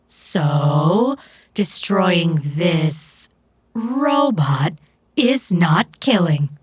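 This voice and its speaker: A stoic female robot in a cyberpunk audio drama. She speaks very slowly and formally, in a lowish voice that moves in lively steps, solemn and literal. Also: heavily acted. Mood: solemn, detached. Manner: formal, measured, literal phrasing.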